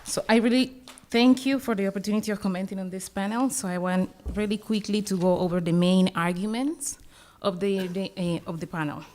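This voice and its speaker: A woman's voice: mid-range voice, a little fast, darkish tone, slight background noise. A woman from Colombia with a Colombian accent, speaking English as a second language, giving a panel discussant's commentary with some hesitation. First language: Spanish. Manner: hesitant, with fillers and restarts. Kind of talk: academic conference commentary.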